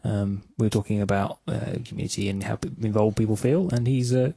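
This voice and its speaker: Male podcast co-host, casual and conversational, lower register with a husky edge. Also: steady tone, brisk, warm tone, dry recording. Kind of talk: casual discussion and banter.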